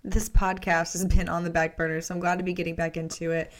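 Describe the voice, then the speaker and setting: A woman hosts a podcast in a voice a little lower than most women's. She delivers announcements as though reading them from prepared notes. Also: little pitch movement, quick pace. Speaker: podcast host.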